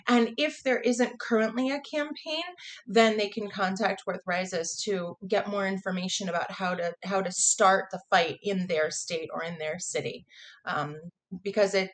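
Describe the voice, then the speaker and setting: An American woman giving earnest, emphatic interview answers as an advocate, repeating phrases for stress. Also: medium pitch. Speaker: interview guest, prison phone justice advocate.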